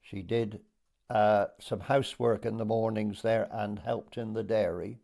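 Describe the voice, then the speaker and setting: An Englishman in his eighties from Dorset, recalling his childhood for an oral history interview in a hesitant storytelling way with pauses. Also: lowish voice, fairly even intonation, gravelly, dark tone. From Dorset, England. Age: 80s.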